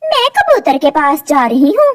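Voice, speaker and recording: Woman as little female sparrow in a cartoon, very high, polite, pleading yet firm. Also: wildly swooping pitch, moderate pace, no echo.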